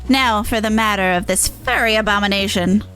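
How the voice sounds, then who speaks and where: A woman voicing a pompous, gleefully macabre demon showman from a game, with a grandiose, drawn-out delivery. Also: mid-range voice, fairly bright tone, faint music behind, lightly acted, poor audio. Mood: wry, grand. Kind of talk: voiced reading of game dialogue.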